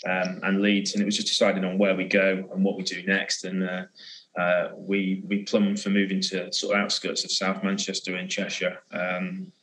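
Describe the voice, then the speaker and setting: Casting: male interview guest, lower register, informal, hesitant delivery that circles back. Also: completely flat delivery, moderate pace, a bit bright, low-quality recording. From born in England, grew up in the Middle East. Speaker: interview guest, design agency co-founder. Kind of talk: casual conversational recounting.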